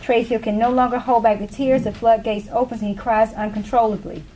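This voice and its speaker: A woman's voice: fairly high pitch, steady tone, brisk, dark tone. A woman narrating a play, reading the scripted stage directions aloud. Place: live readers theatre room. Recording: faint background noise.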